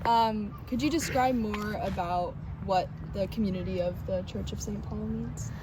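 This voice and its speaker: Woman interviewer, distant, loud traffic. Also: medium pitch, moderate pace. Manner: encouraging, brief affirmations. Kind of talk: oral history interview.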